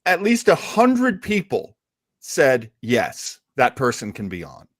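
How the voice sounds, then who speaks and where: A man's voice: medium pitch, huge pitch swings, slow pace, dry recording, studio quality. A man hosting a casual talk show discussion, emphatic and given to repeating phrases to make his point. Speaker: podcast host.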